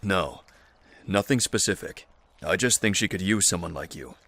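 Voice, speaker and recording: Deep-voiced, calm male camp leader, played by an actor. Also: normal speed. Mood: earnest, measured. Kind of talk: dramatic audio acting, naturalistic dialogue.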